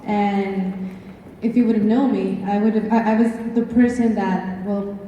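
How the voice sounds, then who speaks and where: A woman from Mexico City shares a personal story as a panel speaker, halting and repeating words. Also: mid-range voice, steady tone, mellow, lo-fi sound. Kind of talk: personal testimonial to an audience. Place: large echoing event hall. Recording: echoey hall, distant mic, faint noise.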